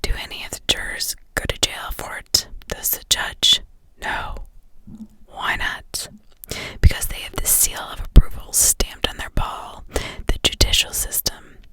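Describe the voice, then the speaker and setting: A woman's voice: unhurried, bright, forceful tone, dry recording, poor audio. A woman reading the part of a pointed, theatrical male defense lawyer in a whisper, with pressing rhetorical delivery. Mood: persuasive.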